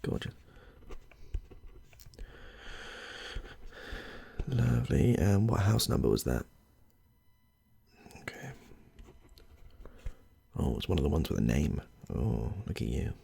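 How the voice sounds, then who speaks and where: A British man playing a polite, chatty therapist in a gentle, soft-spoken ASMR roleplay. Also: a bass voice, very animated, measured pace, creaky, pauses often, slightly rough, pitched below the performer's own voice, full character voice. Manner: gentle, reassuring, murmuring small approvals. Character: polite, chatty, slightly odd male therapist greeting a client.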